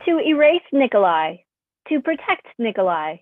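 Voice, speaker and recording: A woman's voice: fairly high pitch, big pitch swings, normal speed, dry recording, phone-quality sound. A woman playing a formal, precise, literal artificial intelligence assistant in a staged play reading. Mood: formal, matter-of-fact.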